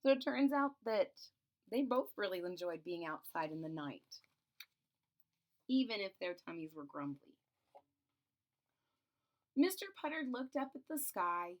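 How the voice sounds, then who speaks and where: A woman's voice: mid-range voice, very animated, a little slow, pauses often. A woman with a mid-range voice reads a children's picture book aloud. She draws out phrases expressively for emphasis. Now and then she steps out of the text to explain things to her listeners as host. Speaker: storybook reader and channel host.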